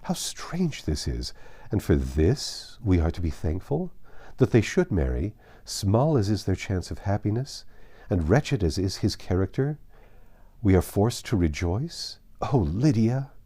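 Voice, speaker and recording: A man voicing an earnest, indignant young woman in a storybook reading, measured and emphatic. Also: low-pitched, big pitch swings, warm tone, heavily acted. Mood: dismayed.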